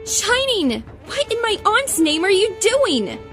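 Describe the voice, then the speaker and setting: A woman's voice: very high-pitched, sing-song, takes it slowly, a bit bright, faint music behind, full character voice. A woman playing a gracious, worried pony princess in a cartoon fan drama, polite and earnest. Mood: alarmed. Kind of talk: fan audio drama acting.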